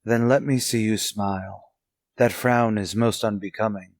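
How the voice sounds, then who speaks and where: An actor playing a suave, resolute gentleman hero in a radio drama, with a genteel, earnest, theatrical way of talking. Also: fairly low pitch, fairly even intonation, unhurried, no room sound, lightly acted. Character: suave, resolute gentleman secretly a crime fighter. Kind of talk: old-time radio drama acting.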